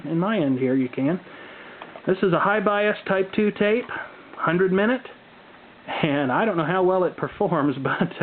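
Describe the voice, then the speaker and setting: A man presenting a casual, unscripted show-and-tell with dry, wry humor and folksy turns of phrase. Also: on the high side. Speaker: hobbyist video presenter. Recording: muffled phone-like audio with background noise.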